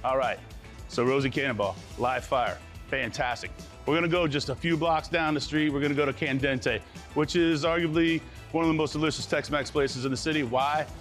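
A man's voice: a little high, brisk, fairly bright tone. Enthusiastic middle-aged man over music. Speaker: food show host, chef. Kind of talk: casual on-location food show chat and presenting. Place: restaurant dining rooms. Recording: music behind the voice.

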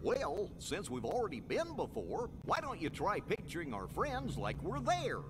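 Goofy kindly cartoon dog, super bouncy pitch, dopey drawl, game music under it. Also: male voice, wildly swooping pitch, normal speed. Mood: earnest. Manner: drawling, folksy, good-natured. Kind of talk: animated video game cutscene. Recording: game audio with music behind.

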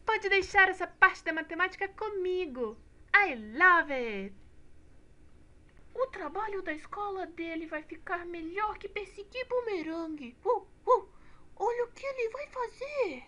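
A woman voicing an eager, boastful talking dog in a children's cartoon, playful and excitable. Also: extremely high pitch, unhurried, pitched above the performer's own voice, full character voice. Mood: excited.

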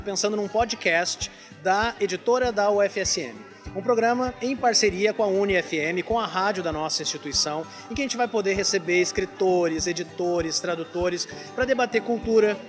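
A man giving an articulate on-camera interview as a director. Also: sits high. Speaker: publishing house director, interviewee. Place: book fair stall under a tent. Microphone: handheld reporter microphone.

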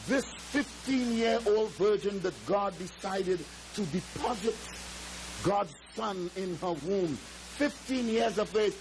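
A man's voice: high voice, slight background noise, poor audio. Older man preaching emphatically. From Trinidad. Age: 70s. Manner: emphatic, drawn-out preaching with shouted exclamations; moves and gestures around the pulpit. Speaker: pastor. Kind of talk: sermon. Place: church stage with drum kit. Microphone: headset mic.

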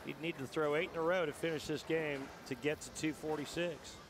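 A man analyzing bowling shots for a TV broadcast, talking through technique in long explanations with a slightly raised pitch. Clear arena background noise sits behind him. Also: fairly even intonation, a little fast. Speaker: color commentator. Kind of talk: sports color commentary.

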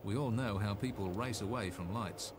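A man's voice: on the low side, moderate pace, background noise. A man with an Australian accent narrating a scripted 1980s road safety film in an instructive, slightly folksy way.

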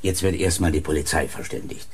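An actor playing a matter-of-fact, firm older husband in a German radio crime drama. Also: male voice, extremely low pitch, fairly even intonation, a little fast, loud music behind the voice. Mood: firm, dismissive.

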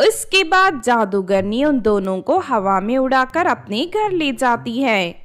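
A woman reading scripted fairy-tale narration as the storyteller of a children's cartoon. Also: a little high. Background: background music.

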